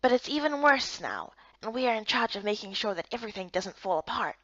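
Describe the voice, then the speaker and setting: A woman acts out a gentle male official in a fantasy game. He speaks softly and politely, often close to a hush, with patient reassurance. The sound is close and dry, with a dull lo-fi edge. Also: a little high, animated, a little fast, no room sound. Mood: patient, reassuring.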